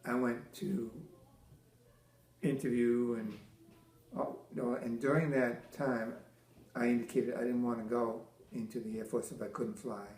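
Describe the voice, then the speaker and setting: An older man from Massachusetts, a military veteran, answering interview questions with halting restarts, many fillers and dry teasing humor. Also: mid-range voice, flat delivery, a little mellow. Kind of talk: oral history interview. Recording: echoey room.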